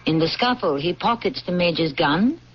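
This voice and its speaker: A man's voice: high voice, animated, normal speed. A man hosting and narrating a radio mystery in a dramatic, scripted style. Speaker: host and narrator. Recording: muffled old radio broadcast. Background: music.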